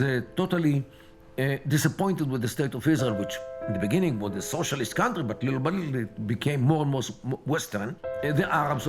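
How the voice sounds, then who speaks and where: A man speaks with a rather high, very animated voice, stopping often to search for words. A strong music bed plays underneath him throughout, and his talk has the measured flow of an interview answer. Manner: hesitant, many pauses and fillers. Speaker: documentary interviewee. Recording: loud music bed behind the voice.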